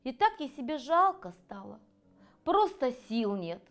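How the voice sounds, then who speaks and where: A middle-aged woman tells a children's story expressively. Her voice sits a little high and moves with animated intonation, over faint instrumental music. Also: unhurried. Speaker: children's writer reading her own tale. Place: cosy furnished room set, indoors.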